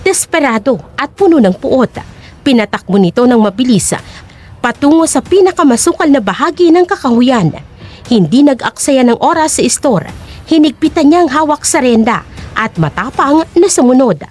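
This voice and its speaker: Female storyteller, high voice with lively swoops, dramatic scripted narration. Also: normal speed.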